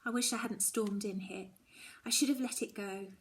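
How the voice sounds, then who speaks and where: A woman reading a children's novel aloud, expressive and dramatic, often dropping toward a hushed tone. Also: mid-range voice, moderate pace. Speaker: story reader.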